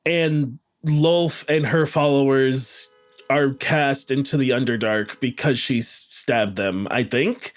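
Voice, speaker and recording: A man's voice: mid-range voice, steady tone, slow pace. A man chatting casually as a podcast panelist, retelling game lore in a slangy, irreverent way and acting out dialogue.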